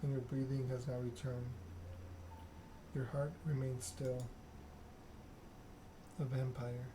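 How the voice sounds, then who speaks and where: A man reads narrative game text aloud for ASMR in a measured, soothing way. His mid-pitched voice stays very even, with noticeable noise and clicking behind it.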